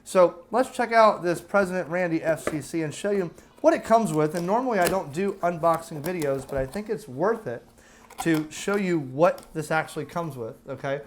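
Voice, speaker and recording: A man's voice: on the high side, lively intonation, moderate pace, a little hiss or hum. An American man giving a casual, unscripted gadget review, chatting and explaining as he goes and often checking in with the listener. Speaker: product reviewer.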